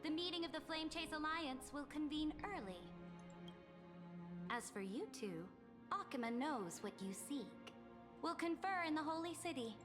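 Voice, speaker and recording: A woman's voice: high-pitched, animated, normal speed. A woman playing a regal, coldly courteous conquering empress in a video game, speaking in a formal, commanding way. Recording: long hall reverb over game music.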